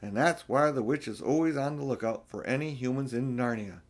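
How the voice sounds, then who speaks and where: A man's voice: medium pitch, brisk, close to an everyday voice. A man voicing an earnest, cautious male beaver in a storybook, explaining things in an emphatic, folksy way. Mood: cautionary. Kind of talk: storybook character voice.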